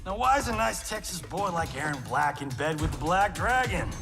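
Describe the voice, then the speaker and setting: A man's voice: fairly high pitch, very animated, normal speed. An actor playing a cocky, wisecracking middle-aged male action-movie star with a sarcastic, swaggering delivery in a video game cutscene. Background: game soundtrack music.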